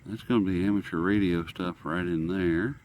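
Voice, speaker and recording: Deep-voiced folksy man, noisy background. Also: fairly even intonation, moderate pace, a little mellow. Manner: folksy, rambling, musing aloud. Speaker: radio hobbyist channel host. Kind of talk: casual unscripted product demonstration. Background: shortwave radio being tuned.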